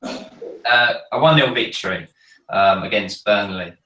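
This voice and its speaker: A man with a croaky, cold-hoarsened voice, chatting casually with many ums on a fan podcast. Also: on the low side, measured pace, bright, forceful tone, reverberant, far from the mic, low-quality recording. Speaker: podcast panelist. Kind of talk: casual chat.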